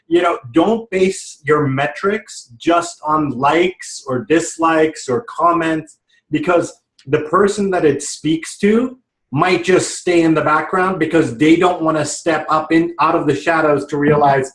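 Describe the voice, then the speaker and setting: A man with a booming voice, pitched a bit high for a man, talking as a guest in a casual chat. He starts and stops, filling gaps with hesitant sounds. The room around him rings with echo. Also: fairly even intonation, a bit bright, distant mic. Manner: booming, halting with many filler sounds.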